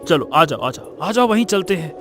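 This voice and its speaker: Man acting a high-voiced, humble village father. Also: big pitch swings, moderate pace, over music. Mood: relieved. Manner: pleading, earnest, repeating himself. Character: poor, desperate, humble village father, protective of family. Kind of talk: Hindi cartoon story dialogue.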